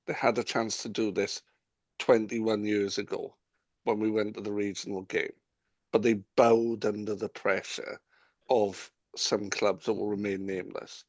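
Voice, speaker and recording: A man co-hosting a rugby podcast, arguing with animated rhetorical questions. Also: on the low side, unhurried, no room sound. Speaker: podcast co-host. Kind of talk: casual rugby chat.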